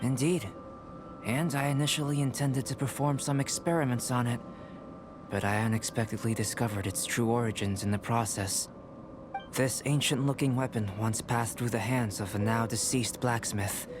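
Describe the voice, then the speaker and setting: An actor voicing a calm, scholarly young male alchemist in a video game, speaking in a measured, formal, analytical way. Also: medium pitch, noisy background, natural voice. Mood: explaining calmly. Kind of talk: video game dialogue acting.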